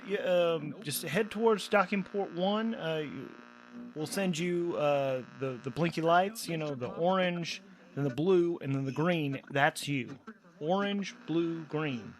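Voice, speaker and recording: A man's voice: on the high side, voice pushed up, natural voice, poor audio. A man playing a casual, joking space station traffic controller who rambles hesitantly in slang, in an improvised audio drama.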